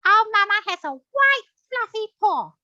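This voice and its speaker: A cheeky young female lamb puppet with big glasses, voiced by a woman, squeaky and high with a voice that leaps all over. She sounds bright and sharp. The audio is a dull, lo-fi video call. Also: big pitch swings, no echo, full character voice. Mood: storytelling. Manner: playful, quick and squeaky.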